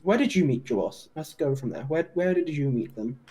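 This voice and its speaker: A man's voice: on the high side, very animated, brisk, dark tone, husky, full character voice. A man playing a polite, methodical police detective in an improvised online roleplay, with a procedural, questioning manner.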